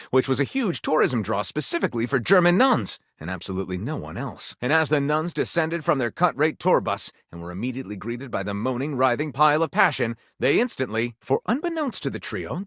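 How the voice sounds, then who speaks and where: Video game role: flamboyant male pulp-romance narrator, overwrought and theatrical, hugely animated intonation, close dry sound. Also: mid-range voice, no echo. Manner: theatrical, breathless, overwrought delivery. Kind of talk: dramatic storybook narration.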